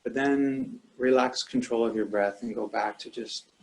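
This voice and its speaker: A man leading a guided meditation as a teacher, speaking calmly and in a measured way with long pauses. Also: medium pitch, poor audio.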